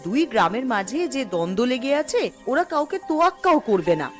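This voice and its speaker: High-voiced, fretful village mother. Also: sing-song, fast, soft background music. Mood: exasperated worry. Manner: exasperated, nagging. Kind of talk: Bengali cartoon dialogue.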